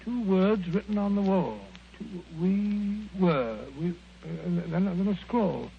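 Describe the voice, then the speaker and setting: Gravelly british gent sidekick, bluff, easily amazed, highish voice, muffled old radio. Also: male voice, takes it slowly, heavily acted. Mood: astonished, curious. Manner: bluff, exclamatory. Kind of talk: mid-century radio drama acting. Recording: dull, noisy old radio recording.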